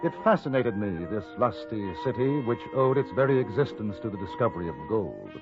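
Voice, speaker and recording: A man's voice: lowish voice, sing-song, brisk. An actor with an English accent playing a courteous, dryly understated English newspaperman in a 1950s radio western. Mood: reflective. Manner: polite, understated, dry. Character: composed, courteous English newspaperman gunfighter in the Old West. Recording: tinny old radio recording with music.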